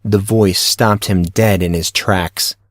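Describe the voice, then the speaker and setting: A man's voice: deep voice, steady tone, takes it slowly. A man reading scripted fiction as the narrator of an audio drama.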